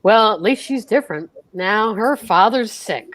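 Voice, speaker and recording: Lady doing a bumbling easygoing husband, stammery, swoopy pitch. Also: animated, measured pace, fairly bright tone. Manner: stammering, good-natured. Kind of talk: amateur old-time radio acting.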